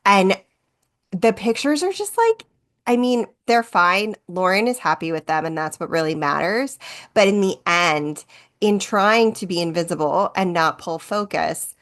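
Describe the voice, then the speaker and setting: A woman's voice: mid-range voice, expressive, unhurried, a bit bright. A woman in her thirties co-hosting a podcast, telling stories in a chatty, self-deprecating way, full of "like" and "um". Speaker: podcast co-host and wedding photographer. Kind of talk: casual podcast chat.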